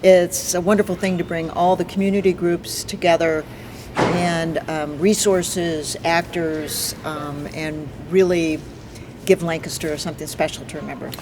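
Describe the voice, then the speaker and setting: A theatre guest speaks in a mid-range voice, quick and upbeat in a casual interview. There is a clear layer of noise behind the voice. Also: medium pitch, fairly even intonation.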